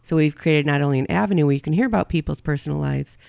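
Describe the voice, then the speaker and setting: Gravelly middle-aged woman, close dry sound. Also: sits low, very fast, a little mellow, no echo. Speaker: podcast co-host, couples therapist. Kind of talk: prepared podcast introduction.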